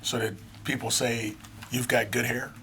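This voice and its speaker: A man hosting a podcast interview, asking relaxed, teasing questions. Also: medium pitch, a little slow, a little hiss or hum. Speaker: podcast host.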